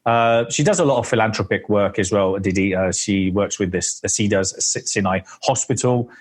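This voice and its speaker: A man's voice: on the low side, moderate pace. A man hosting an interview, introducing his guest with many hesitations and eager restarts. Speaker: host.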